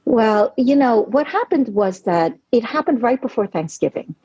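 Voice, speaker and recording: A woman's voice: a little high, lively intonation, moderate pace, warm tone, breathy edge, no echo. A woman in her forties, born in Hong Kong and raised in New York City, a novelist telling her story in an earnest, reflective podcast interview, often saying "you know". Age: 40s. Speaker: novelist guest. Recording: dry, dull lo-fi audio.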